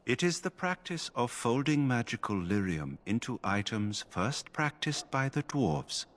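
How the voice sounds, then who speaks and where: A man playing a stoic, emotionless mage enchanter in a fantasy video game, speaking in a formal, measured way. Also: mid-range voice, big pitch swings, a bit bright. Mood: detached, formal. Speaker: game character. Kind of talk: scripted fantasy game acting.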